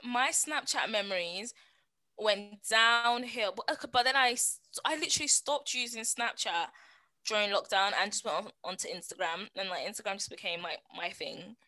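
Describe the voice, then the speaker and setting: A woman, co-hosting a podcast in casual, slangy chat full of animated storytelling and quoted asides. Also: medium pitch, normal speed, dry recording. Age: 20s. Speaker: podcast co-host.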